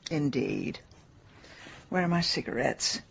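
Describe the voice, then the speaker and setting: An actress playing a blunt, sharp-tongued amateur sleuth with an English accent in a radio drama. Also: female voice, sits low, animated, takes it slowly, vocal fry, highs cut off, background noise, exaggerated voice. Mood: dry, wry. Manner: blunt, dry, wry.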